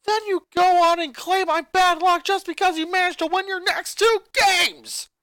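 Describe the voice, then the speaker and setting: A man's voice: very high voice, steady tone, normal speed, no room sound. A man voicing an angry eleven-year-old boy who rants and accuses his sisters in a fanfic reading. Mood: furious. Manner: ranting, accusing, voice rising to shouts.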